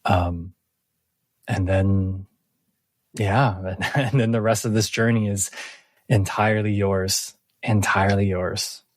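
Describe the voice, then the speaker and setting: A man's voice: lowish voice, fairly even intonation, takes it slowly, no echo. A man hosting a tabletop roleplaying game as game master. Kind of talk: tabletop game narration and casual recap.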